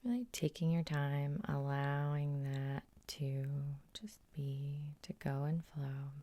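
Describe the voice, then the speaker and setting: A woman hypnotherapist guiding an ASMR sleep hypnosis in a soft, soothing half-whisper with slow, lingering phrases. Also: deep voice. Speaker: hypnotherapist and host.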